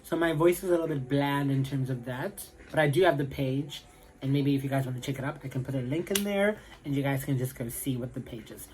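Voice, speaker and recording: A man's voice: mid-range voice, a little fast, a little mellow. Chatty young South African man. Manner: chatty, rambling, full of "like" and "you know". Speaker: vlogger. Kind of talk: casual chat to camera.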